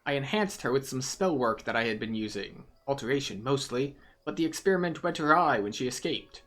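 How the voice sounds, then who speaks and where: A man's voice: medium pitch, animated, fairly quick, fairly bright tone, natural voice. Man acting out a troubled, pleading wizard. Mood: anxious pleading. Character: troubled, apologetic male wizard pleading for help.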